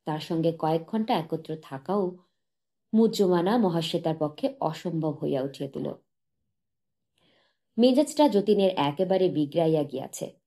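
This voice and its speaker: Low, mellow woman narrating literature. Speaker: audiobook narrator. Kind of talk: literary short story narration.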